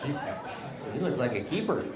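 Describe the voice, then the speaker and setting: A man presenting a live seminar, joking with the audience and calling up volunteers in an energetic way. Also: medium pitch, normal speed. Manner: energetic, joking, drives the crowd. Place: large hall with live audience. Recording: echoey hall with crowd noise.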